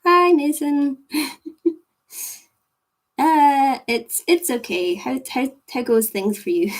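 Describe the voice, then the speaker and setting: A woman's voice: sits high, slow pace. A young Scottish woman chatting casually with her viewers, rambling and giggling, full of "like". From Scotland. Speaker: livestream host chatting with viewers. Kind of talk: casual chat.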